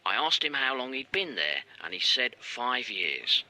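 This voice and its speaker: A man's voice: mid-range voice, sing-song, a little slow, pushed and bright, heavily acted, rough recording. An actor playing a dutiful junior police detective in a radio drama, reporting to his chief in a matter-of-fact manner. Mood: businesslike.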